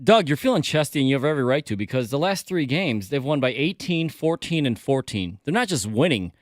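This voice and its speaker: A man co-hosting sports radio in a lively, teasing way. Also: mid-range voice, fairly quick, no echo, studio quality. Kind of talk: casual sports talk. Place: radio studio.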